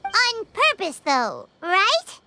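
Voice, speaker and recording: Tiny childlike game fairy, extremely high squeaky voice, cutesy, bouncy intonation, curious. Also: female voice, huge pitch swings, very slow, heavily acted. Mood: indignant. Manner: cutesy, speaks of self in third person. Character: small childlike fairy companion, chirpy and curious. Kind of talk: video game voice acting. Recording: loud background music behind voice.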